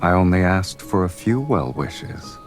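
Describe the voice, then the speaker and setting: An actor playing a proud, teasing and quietly affectionate crime boss in a romance game, speaking unhurriedly over guitar music. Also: male voice, deep voice, sing-song, a little slow.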